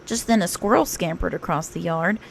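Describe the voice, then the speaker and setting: A woman narrating a children's story aloud in an expressive read-aloud style, like a teacher. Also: fairly low pitch, brisk, vocal fry, slight background noise.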